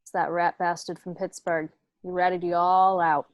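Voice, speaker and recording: A woman's voice: lowish voice, flat delivery, moderate pace, no echo, natural voice. A woman playing a tough, vengeful mob boss giving orders, on a slightly noisy home microphone. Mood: bitter, commanding.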